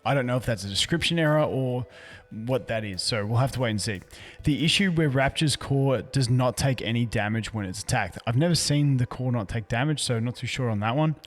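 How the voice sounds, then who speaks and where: A man with a hoarse, fading voice, talking casually through a game's update notes as a YouTuber. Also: medium pitch, brisk, in a large hall. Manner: chatty and easygoing, restarts words often. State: hoarse, losing his voice. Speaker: gaming YouTuber. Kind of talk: casual game news commentary, reading patch notes.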